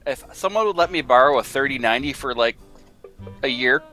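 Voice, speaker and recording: A man's voice: mid-range voice, animated, fairly quick, music in the background. A young man in casual chat, joking and informal. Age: young adult.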